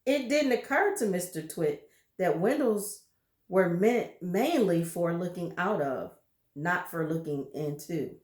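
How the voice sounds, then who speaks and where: Slow, expressive female read-aloud narrator; roomy, echoing recording. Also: lowish voice. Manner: expressive, showing pictures to listeners. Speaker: storybook reader. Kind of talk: children's book read-aloud.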